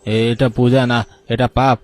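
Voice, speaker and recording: A man playing an uneasy, superstitious villager in an animated horror story, speaking colloquially. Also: medium pitch, slow pace, natural voice. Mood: shocked, fearful. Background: music bed.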